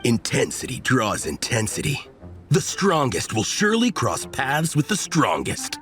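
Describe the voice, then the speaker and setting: A man's voice: mid-range voice, huge pitch swings, a little slow, fairly bright tone. A man playing a bombastic, zealous young fanatic in a video game, declaiming in a pompous, grandiose way. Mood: solemn, grandiose. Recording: faint music behind the voice.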